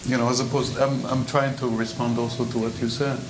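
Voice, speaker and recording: A man speaking as a panelist in a roundtable discussion, reflective and relaxed, with frequent "you know". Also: mid-range voice, brisk, background noise. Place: hall with audience.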